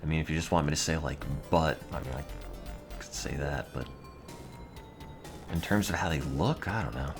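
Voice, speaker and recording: A man's voice: rumbling low, moderate pace, pauses often. A young adult man streaming and answering his chat in a dry, rambling, offhand way. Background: music playing.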